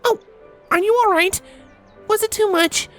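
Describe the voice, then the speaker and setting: Guy doing a sweet earnest cartoon girl pony, really high voice, music playing behind. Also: steady tone, a little slow, a bit bright. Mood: worried, apologetic. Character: eager, caring young female pony, apologetic and earnest.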